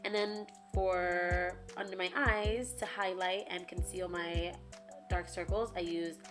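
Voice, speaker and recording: A young woman with a hoarse, raspy voice, chatting casually through a makeup tutorial. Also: on the low side, fairly even intonation, takes it slowly. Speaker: beauty vlogger. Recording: voice-over with loud background music.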